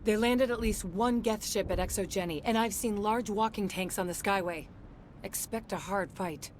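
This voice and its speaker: A woman's voice: mid-range voice, normal speed, a little mellow, slight background noise, lightly acted. A woman playing a hard-edged, skeptical colonist under siege in a video game, speaking bluntly and curtly. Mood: grim. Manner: blunt, curt. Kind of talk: video game dialogue acting.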